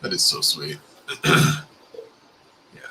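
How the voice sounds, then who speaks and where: A man hosting a casual video call interview, playful and joking, quick to laugh. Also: bright, forceful tone, a little hiss or hum. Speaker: host. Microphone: video call mic.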